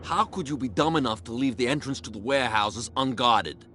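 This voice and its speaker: An actor playing a surly male bootlegger thug in a video game, mocking and often hushed. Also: medium pitch, expressive, brisk, slight background noise. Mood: scornful.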